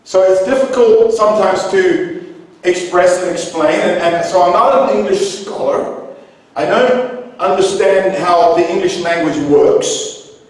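Impassioned preacher in an echoey hall. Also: male voice, high voice, unhurried, recorded from a distance. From New Zealand, raised in Iceland. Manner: impassioned preaching, rising to shouts. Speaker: pastor. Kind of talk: sermon. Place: large church hall with high ceiling. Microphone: handheld mic, heard from a distance in the room.

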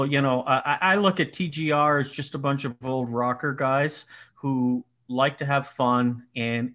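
A man's voice: medium pitch, fairly bright tone. A man in his sixties from Colorado with a nasal voice, a guest telling self-mocking stories on a video call from his home. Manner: self-mocking storyteller, laughs often. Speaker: podcast guest, business owner. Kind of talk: casual video call chat. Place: home room indoors. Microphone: headset, video call.

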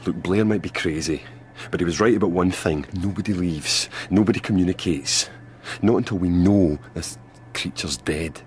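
An actor playing a gruff, resolute Antarctic expedition man, terse and grim, in a radio drama. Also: deep voice, normal speed, few pauses, a little hiss or hum, close to an everyday voice. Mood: grim resolve.

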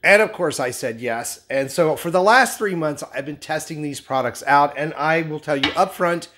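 A man's voice: on the high side, normal speed. A man in his thirties hosting a skincare review vlog from his home kitchen, speaking straight to camera with upbeat enthusiasm, sounding slightly nasal and scratchy after a cold. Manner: upbeat, enthusiastic, addresses viewers directly. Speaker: skincare channel host. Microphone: camera at medium framing, seated facing lens.

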